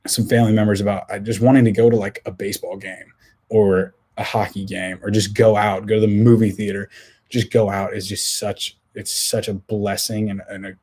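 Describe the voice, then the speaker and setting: A young man hosting his own podcast alone, talking casually with a hoarse voice, rambling with many restarts and fillers. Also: lowish voice, level tone, a little fast, darkish tone. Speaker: podcast host. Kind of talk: casual solo podcast talk.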